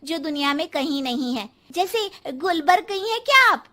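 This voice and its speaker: Young cartoon sister-in-law played by a woman: very high, bright, sensible, pert. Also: animated, moderate pace. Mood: pointed pride. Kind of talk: cartoon moral-story voice acting.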